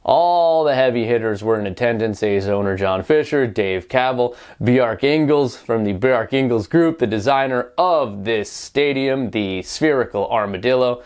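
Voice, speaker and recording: A man's voice: mid-range voice, normal speed, hardly any pauses, a little mellow, smooth. A man delivering opinionated sports news commentary as a reporter, wry and pointed, often posing rhetorical questions.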